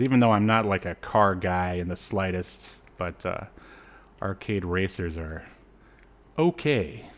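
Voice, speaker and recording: Low-pitched man co-hosting a casual chat. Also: fairly bright tone. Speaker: podcast co-host.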